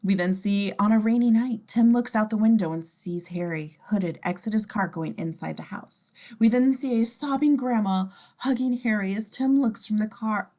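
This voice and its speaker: A woman's voice: medium pitch, moderate pace, few pauses, dark tone. A woman reading scene descriptions for a table read, informal and given to wry asides. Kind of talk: scripted narration in casual table read.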